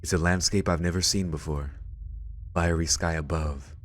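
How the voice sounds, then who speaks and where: Low-voiced man reading game narration. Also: steady tone, moderate pace. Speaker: let's play host. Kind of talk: reading visual novel narration aloud with brief comments. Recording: game music behind the voice. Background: game music.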